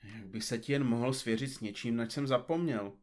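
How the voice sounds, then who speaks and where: A man's voice: mid-range voice, a little mellow, natural voice. Man playing pompous absent-minded detective, florid formal wording, sensitive, audio comedy drama. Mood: apologetic, earnest.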